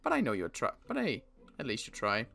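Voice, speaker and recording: A man playing a wary adult survivor in an improvised game roleplay, talking in a wry, halting way with frequent restarts. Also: medium pitch, huge pitch swings, gravelly, pushed and bright. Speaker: roleplay player.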